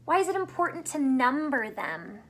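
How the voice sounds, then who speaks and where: A woman teacher reading a picture book aloud to young children, pausing to explain simply and ask questions, drawing out words for emphasis. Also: high voice, big pitch swings, fairly bright tone.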